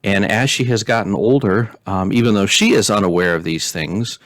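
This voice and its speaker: A man reading a story aloud in an expressive, measured way, as a pastor hosting storytime. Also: fairly low pitch. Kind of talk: storybook reading with informal recap.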